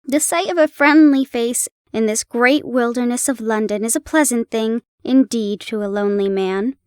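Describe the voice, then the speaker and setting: Woman narrator, calm and steady reading, high pitch, dry close mic. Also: moderate pace, clear, resonant tone, no room sound. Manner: calm, steady storytelling, laughs at herself. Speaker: audio roleplay narrator. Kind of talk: reading a classic story aloud.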